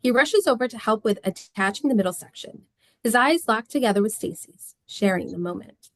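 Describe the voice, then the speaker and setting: A woman's voice: fairly high pitch, lively intonation, brisk, dry recording. A woman reading stage directions as scripted narration at a table read.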